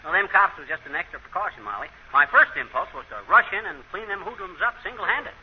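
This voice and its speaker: An actor playing a blustering, panicky middle-aged American husband in a folksy, slangy 1930s radio comedy style. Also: male voice, sits high, animated, quick pace. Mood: boastful. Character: blustering, panicky husband who brags and backpedals. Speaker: comedy show star. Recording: muffled, noisy 1930s radio recording.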